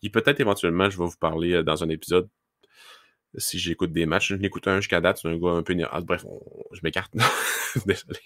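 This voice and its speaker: A man's voice: low-pitched, steady tone, a little fast, fairly bright tone, dry recording, studio quality. A man with a Québécois accent from Lac-Saint-Jean, hosting a hockey scouting podcast in a rambling, casual way with many fillers. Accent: Québécois French, Lac-Saint-Jean. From Quebec, Lac-Saint-Jean. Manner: rambling, many fillers and restarts, laughs at himself. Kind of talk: casual solo podcast talk.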